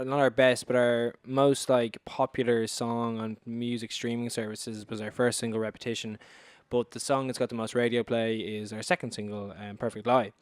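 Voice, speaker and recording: Casting: young Irish male band member, clear voice, informal interview chat, dry sound. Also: fairly low pitch, no room sound. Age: young adult.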